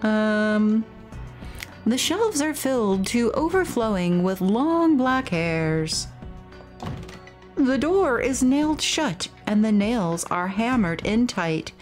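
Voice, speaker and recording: A woman hosts a video game playthrough in a fairly high, very lively voice. Her manner is playful and excitable, with expressive reactions as she plays. Faint music sits behind her. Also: takes it slowly. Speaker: game streamer and host. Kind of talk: let's play commentary with dramatic read-alouds.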